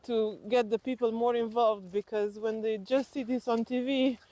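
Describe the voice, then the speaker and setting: A woman from Slovenia with a Slovenian accent, telling personal stories reflectively in conversation and haltingly thinking aloud as an interview guest. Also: fairly high pitch, fairly even intonation, dark tone, poor audio.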